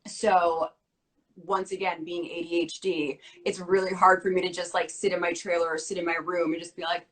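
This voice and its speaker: A young adult woman talking in a casual interview, chatty and quick with lots of filler words, her voice worn from losing it. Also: on the low side, flat delivery, fairly bright tone, low-quality recording. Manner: fast, bubbly talk with many "like" fillers. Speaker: interview guest.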